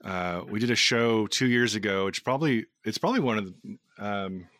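Low-voiced man hosting, close and dry. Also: normal speed, a bit bright, no echo. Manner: informal, effusive, rambling. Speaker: podcast host. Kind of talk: casual chat interview.

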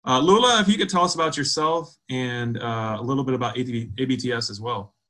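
Male host, bright and friendly, casual pauses and restarts. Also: mid-range voice. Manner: friendly, halting with fillers. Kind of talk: video call interview.

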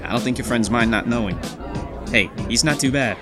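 A man's voice: mid-range voice, fairly quick, music in the background, crowd noise, natural voice. A man playing a dry, easygoing sportswriter pal in a period radio drama. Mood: casual, amused.

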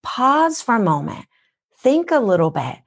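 A woman's voice: a little high, very animated, moderate pace, creaky. A woman from Japan with a Minnesotan accent, speaking as a wellness guest in an interview, earnest and teacherly, asking rhetorical questions. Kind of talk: podcast interview.

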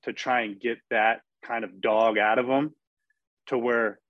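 A man's voice: medium pitch, fairly even intonation, a little slow, dry recording. A man speaking as a performance coach on a discussion panel, talking emphatically. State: losing his voice. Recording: dull, dry lo-fi audio.

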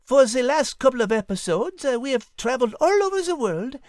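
A man puts on a dainty French she-cat for a children's audio story. She speaks in a high feminine register with polished manners and a sweetly teasing confidence. The sound is close and dry. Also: very animated, a little fast, darkish tone, no room sound. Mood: cheerful, hosting. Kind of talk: audiobook character acting and show hosting.

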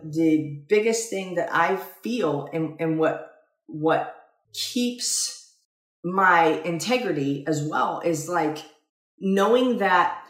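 A woman's voice: fairly low pitch, roomy sound. A woman co-hosting a casual talk, reflective and earnest, speaking in long, winding sentences.

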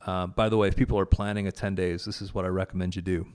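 Earnest low-voiced man. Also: level tone, fast talker, a little mellow, clear, resonant tone. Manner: earnest, many fillers and restarts. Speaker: guest, prayer event organizer. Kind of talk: casual conversation about faith.

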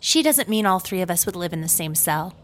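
A woman's voice: on the low side, lively intonation, fairly quick, a bit bright, no room sound. Woman as a meek female prison sidekick. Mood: timid. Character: meek female inmate sidekick who beatboxes. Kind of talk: comedy audio drama acting.

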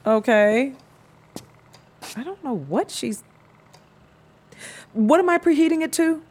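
A woman's voice: high-pitched, expressive, slow pace, a little mellow. An actress playing a practical, fussy young city woman in audio fiction, earnest and casual in manner.

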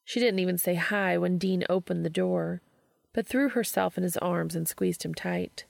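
A woman's voice: on the low side, steady tone, normal speed, gravelly, a little mellow, no echo. A woman reading scripted fiction aloud as a narrator.

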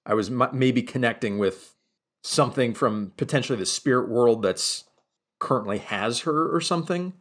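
A man playing a tabletop role-playing game, talking casually as his character in a halting way, repeating words as he thinks aloud. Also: mid-range voice, a bit bright.

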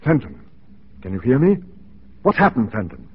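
Husky police inspector barking orders, voice swings a lot, old muffled radio. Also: male voice, fairly low pitch, huge pitch swings, normal speed, full character voice. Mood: urgent, commanding. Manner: clipped, commanding, formal. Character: brisk, authoritative mounted police inspector in command. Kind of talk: 1940s radio acting. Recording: dull 1940s radio recording.

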